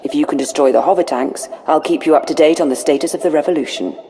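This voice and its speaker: An actress playing a cool, scheming aide in a video game, speaking in a calm, businesslike way. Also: female voice, low-pitched, fast, a little hiss or hum. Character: cool, scheming female aide to a dark lord. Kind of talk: scripted video game dialogue.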